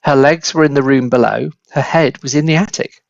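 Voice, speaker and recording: A man with a creaky, mid-pitched voice tells a children's story in deliberate, dramatic phrases. The recording is very dry and slightly dull. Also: no echo. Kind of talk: expressive children's storybook narration.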